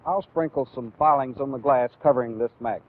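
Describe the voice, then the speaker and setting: An American man giving a methodical, deliberate instructional lecture as a training film instructor. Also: mid-range voice, a little hiss or hum. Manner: deliberate, methodical, clearly explanatory. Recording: phone-like, band-limited old training film audio.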